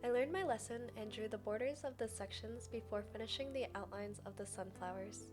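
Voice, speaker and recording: A woman's voice: on the high side, fairly quick. A young woman giving a chatty, scripted voice-over as the narrator of a craft video. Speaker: journaling video creator and narrator. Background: background music.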